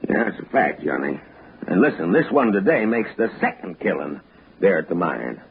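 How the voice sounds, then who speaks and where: A man's voice: sits low, sing-song, normal speed, vocal fry, breathy edge, full character voice. An actor playing a folksy, deferential mine-country man with a rustic Western American accent in a 1960s radio drama. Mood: earnest, friendly. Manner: rustic, drawling. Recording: muffled narrow-band old radio recording.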